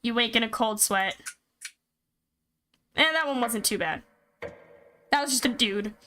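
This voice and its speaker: A woman streaming a game, reading the story aloud and breaking in with excitable reactions. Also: a little high, a little slow. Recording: loud music behind the voice. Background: loud game music.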